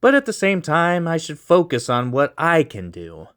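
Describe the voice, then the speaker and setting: A man's voice: fairly high pitch, sing-song, normal speed, fairly bright tone, smooth, pitched above the performer's own voice. A man voicing a bitter, struggling young man who is exasperated and snappy, in a storybook reading. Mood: brooding.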